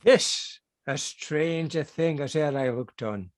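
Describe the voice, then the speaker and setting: A man's voice: on the high side, slow pace, no room sound, studio quality. A man playing an astonished king in a Shakespeare play reading. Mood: amazed.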